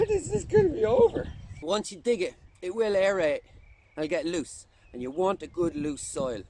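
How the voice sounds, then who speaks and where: A man's voice: sits high, big pitch swings, normal speed, mellow. A man giving playful, exaggerated comic instructions in a video. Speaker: comedy performer.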